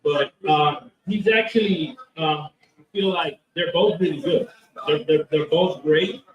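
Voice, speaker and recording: A man's voice: a little high, a little slow, far from the mic, highs cut off. A man being interviewed at a convention about his comic book, talking in a rambling way with lots of fillers and restarts. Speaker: comic book creator, guest. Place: convention hall. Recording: roomy echo, from off mic.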